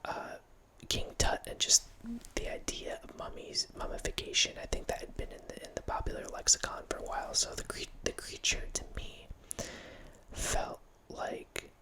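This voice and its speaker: A man's voice: normal speed, pushed and bright. A man in his thirties whispering a casual, rambling talk close to a studio mic in a small home room, often restarting his sentences. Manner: rambling, self-correcting, casual. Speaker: host. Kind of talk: whispered ASMR horror film ramble. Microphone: studio mic close up, headphones.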